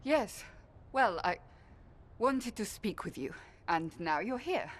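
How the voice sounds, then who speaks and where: A woman's voice: mid-range voice, sing-song, slow. An actress playing an earnest, formal young woman who speaks hesitantly to a friend.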